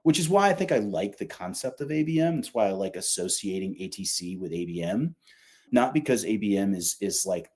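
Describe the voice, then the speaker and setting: A man's voice: sits low, darkish tone. A man speaking as a company executive in a casual interview, emphatic, colloquial and opinionated. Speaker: company revenue executive, podcast guest.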